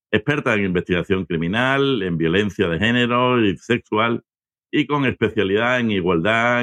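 A man with a fairly low voice hosts an interview podcast. He speaks conversationally as he presents and questions a guest, and the sound is dry, with little room on it. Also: fairly bright tone, dry recording.

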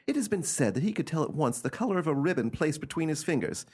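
A man playing an eccentric gentleman who recounts an uncanny family tale in a theatrical, emphatic radio drama style. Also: a little high, big pitch swings, fast, slightly rough. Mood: reflective, admiring.

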